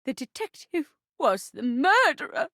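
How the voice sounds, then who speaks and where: A woman voicing a theatrical old woman who is a living chair in a video game, with stagey gasps and hushed asides. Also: very animated, a little slow, no room sound, clean studio sound, heavily acted. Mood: horrified, hammy. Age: older. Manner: stagey, gasping, swooping from hushed asides to high cries. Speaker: datable game character. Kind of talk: video game voice acting, murder-mystery melodrama.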